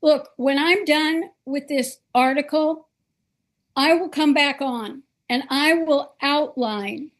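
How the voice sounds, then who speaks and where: A woman hosting a political talk show, speaking earnestly and with emphasis. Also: sits high. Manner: earnest, emphatic, slow and deliberate.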